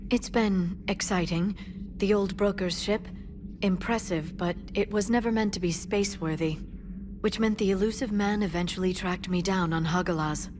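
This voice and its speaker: A woman playing a composed, earnest alien scholar and information broker in a video game, speaking in a measured, articulate way. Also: mid-range voice, lightly acted. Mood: reflective, measured. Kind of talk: video game character dialogue. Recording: clear ambient noise behind game dialogue.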